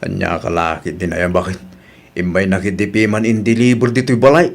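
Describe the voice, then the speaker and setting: A man's voice: sits low, steady tone, a little fast, creaky. A man with an Ilocano Filipino accent playing an easygoing but exasperated village husband in a radio drama.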